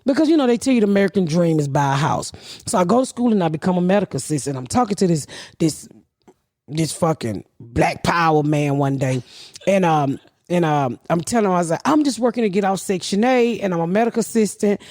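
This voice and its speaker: A woman's voice: lowish voice, lively intonation, a little fast, a little mellow, no room sound. Woman, middle-aged, podcast host, profane rambling storyteller, dry close sound. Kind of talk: casual storytelling.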